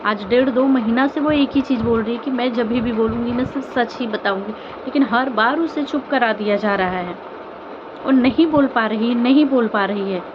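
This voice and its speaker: A woman with a fairly high voice speaks as an informal commentary vlogger. She is earnest and pleading, often repeating herself and posing rhetorical questions. The sound is somewhat muffled, with clear background noise behind her. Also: normal speed. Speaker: vlogger commenting on online family drama. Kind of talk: informal opinion commentary addressed to viewers.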